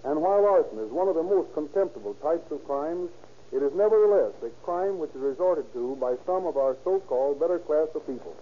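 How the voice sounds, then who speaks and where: A man's voice: on the high side, lively intonation, a little fast, a little hiss or hum. A man, a fire captain, giving a stiff, formal scripted address on 1930s radio. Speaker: fire captain, guest speaker. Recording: muffled old radio recording.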